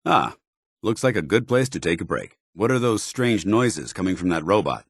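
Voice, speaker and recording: An American actor playing a stern, principled military paladin in a video game, with clipped, formal, authoritative diction. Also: male voice, low voice, very animated, normal speed, breathy edge, no echo, studio quality, heavily acted. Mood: calm, curious. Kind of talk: video game companion dialogue.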